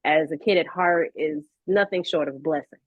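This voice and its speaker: A woman's voice: low voice, moderate pace, dry recording. Enthusiastic woman, dry slightly muffled sound. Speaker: guest, voice actress. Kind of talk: casual interview chat. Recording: dry, slightly dull audio.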